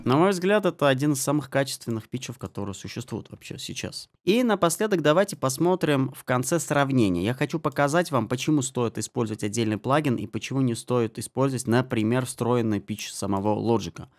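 A man giving a spoken software tutorial as an audio mixing tutor, explaining settings step by step. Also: medium pitch, expressive, a little fast, hardly any pauses. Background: music and guitar samples.

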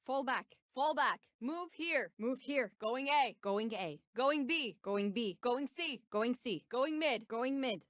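A woman's voice: high-pitched, lively intonation, takes it slowly, few pauses, fairly bright tone, dry recording, full character voice. Casting: menacing female bounty hunter, video game, Turkish accent, confident and ominous, dry studio sound. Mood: businesslike. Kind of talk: video game character voice lines.

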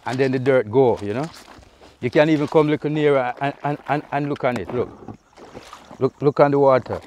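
A man's voice: medium pitch, normal speed, darkish tone, slight background noise. A middle-aged man with a Jamaican accent, casually explaining a task outdoors by a river and saying "you know" a lot. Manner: easygoing, repeats "you know" often. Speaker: vlogger. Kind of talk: casual how-to vlog.

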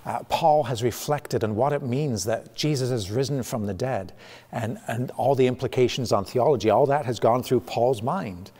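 Pastor preaching in a reverberant church. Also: male voice, medium pitch, normal speed. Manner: animated, gesturing with both hands, frequent restarts. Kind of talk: sermon. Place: church sanctuary, indoors. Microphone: camera at medium distance. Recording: long reverb of a church hall.

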